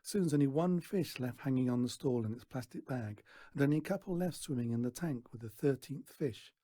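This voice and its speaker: A man reading a children's story aloud in an expressive narrating style. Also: mid-range voice, a little fast, no echo, clean studio sound. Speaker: storybook reader.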